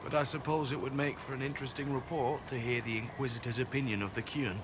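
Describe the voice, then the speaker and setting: A male elf spy from a fantasy game, played by a man, speaks in a medium-pitched voice. He is dry and guarded, with a quiet earnestness under the matter-of-fact delivery. Clear ambient noise sits behind him. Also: fairly even intonation, fast talker, lightly acted. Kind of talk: video game cutscene acting. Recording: game capture with loud ambient background noise.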